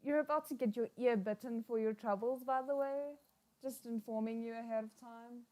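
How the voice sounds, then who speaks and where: Woman, fairly high pitch, casual livestream reaction host, expressive exclamations. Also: moderate pace, rough recording. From South Africa. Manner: expressive, exclaims and giggles while watching. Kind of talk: casual live reaction commentary.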